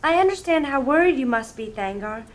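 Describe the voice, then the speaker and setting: A waifish young elf woman played by a woman in a fantasy audio drama, high in pitch. She speaks earnestly, with a wondering air and slightly stilted formal phrasing. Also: lively intonation, a little fast.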